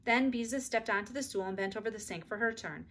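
Storybook reader: woman, middle-aged, slightly high voice, expressive read-aloud delivery. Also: fast talker, slight background noise. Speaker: teacher reading a children's book aloud. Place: small indoor room at home.

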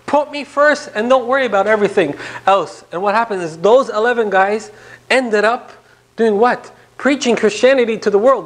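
Male priest, thirties, high voice, conversational interactive lecture in a small room, rhetorical questions, animated delivery. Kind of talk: interactive church talk to a small group. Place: small indoor meeting room.

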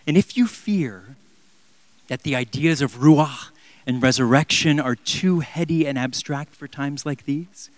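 A man in his thirties preaching a sermon in a church on a handheld mic, impassioned, swinging from loud emphatic peaks down to near-whispers. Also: mid-range voice, dry recording. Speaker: pastor. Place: large church sanctuary.

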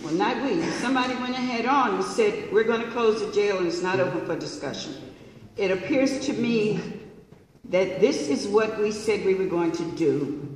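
A woman's voice: mid-range voice, a little hiss or hum. Echoey council woman, far off. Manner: measured, insistent on procedure. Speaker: council member. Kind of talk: formal public meeting remarks. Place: large council chamber with wood panels.

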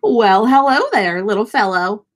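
A woman voicing an eager, sweet little male goldfish in a children's storybook, exclaiming with excitement. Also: medium pitch, measured pace, natural voice. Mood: cheerful greeting.

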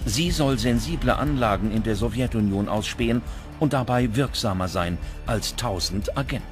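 A man reading scripted documentary narration with dramatic, measured phrasing. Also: medium pitch. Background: background music.

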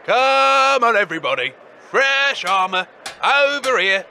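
A man as a high, sharp-voiced market trader. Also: animated, measured pace, a little hiss or hum, heavily acted. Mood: hawking. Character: shameless male wasteland market trader.

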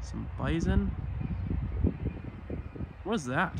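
A young American man talks in a casual, playful, folksy manner with a somewhat high voice. He sounds like he is filming himself handheld on a trip, with plenty of noise from the road and outdoors. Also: expressive, very slow, gravelly. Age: young adult. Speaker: vlogger. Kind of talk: casual travel vlog narration. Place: in and around a motorhome on the road. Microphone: handheld camera at arm's length. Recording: noisy handheld outdoor and vehicle audio.

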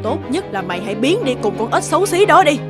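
A cruel middle-aged fairy tale stepmother. She scolds harshly and scornfully, her fairly high voice swinging widely in pitch. Music is clearly heard behind her. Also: big pitch swings, quick pace. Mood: furious. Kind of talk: dubbed cartoon fairy tale acting.

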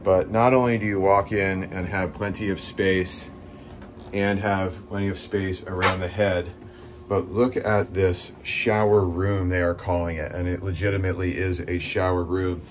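A man's voice: low voice, flat delivery, normal speed, slight background noise. A middle-aged man and yacht broker giving an enthusiastic, casual walkthrough of a sailboat, with frequent fillers, filmed on a handheld phone at a boat show. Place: on a sailboat at a dockside boat show, outdoors and in the cabin. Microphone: handheld phone camera.

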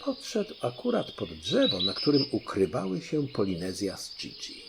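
A man narrating a children's audiobook in an expressive storytelling style. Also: lowish voice, normal speed, gravelly, noisy background.

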